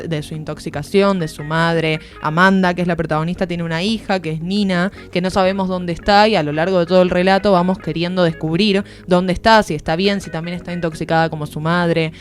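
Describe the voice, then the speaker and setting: A woman's voice: lowish voice, fairly quick, hardly any pauses. A woman with an Argentine accent giving an informal, explanatory book review as a columnist on a radio show. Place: radio studio. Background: music.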